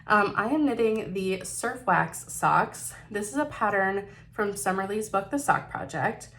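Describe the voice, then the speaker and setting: A woman's voice: mid-range voice, expressive, unhurried, a bit bright, slight background noise. A woman hosting a knitting video podcast, chatting casually about her projects in a nasal voice because she is getting over a cold, upbeat with some fillers and slips.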